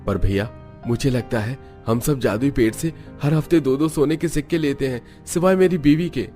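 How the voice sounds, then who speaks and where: A man's voice: mid-range voice, normal speed, warm tone, faint music behind, pitched above the performer's own voice, close to an everyday voice. A man voicing a concerned, uneasy young family man in cartoon dialogue.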